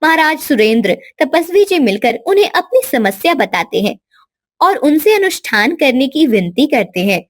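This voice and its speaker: A woman's voice: high-pitched, very animated, moderate pace. A woman storyteller giving scripted narration for a cartoon tale.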